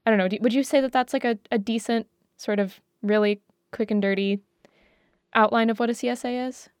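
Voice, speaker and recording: A woman's voice: fairly high pitch, steady tone, a little fast, a little mellow, clear, resonant tone, dry recording, clean studio sound. A woman explaining things casually in conversation as a panelist, informal and thinking aloud as she goes. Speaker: talk show panelist.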